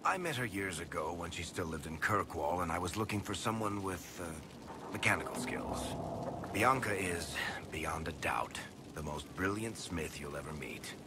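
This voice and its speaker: An actor playing a wry, guilt-ridden dwarf storyteller in a video game, blunt and sardonic but hesitant when pressed. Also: male voice, sits low, animated, moderate pace, background noise. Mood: wistful, sarcastic.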